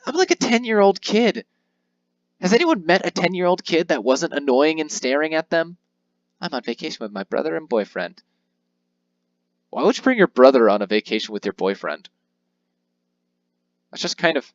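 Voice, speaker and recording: A man's voice: on the high side, sing-song, moderate pace, long pauses, no echo, muffled recording. A young man casually commenting on his playthrough of a handheld game, rambling and poking fun at himself. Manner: rambling, self-deprecating, reads game text aloud in voices. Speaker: let's play host.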